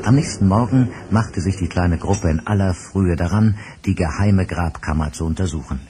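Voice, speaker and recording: A man narrating a radio play in a measured, storytelling way. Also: sits low, noisy background. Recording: loud music behind.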